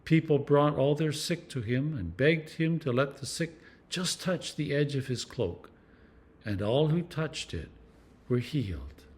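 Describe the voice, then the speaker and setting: A man reading scripture aloud as a clergyman, in an expressive, measured storytelling manner. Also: a little high. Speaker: clergyman reading scripture for a church meditation series.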